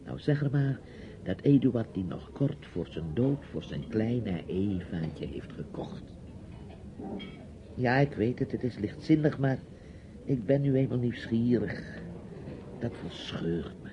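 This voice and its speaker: A man's voice: medium pitch, lively intonation, slow, long pauses. An actor playing a chatty, nosy, bossy older man in a radio drama, with a theatrical, rambling delivery. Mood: scheming, curious. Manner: theatrical, rambling, commanding, with hesitations. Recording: dull, hissy old radio play recording.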